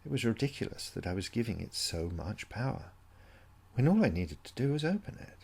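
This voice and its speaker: Gravelly, low-voiced man reading an eerie story. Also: sing-song, fairly quick, a little mellow. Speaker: story narrator. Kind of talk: dramatic first-person audiobook reading of an eerie story.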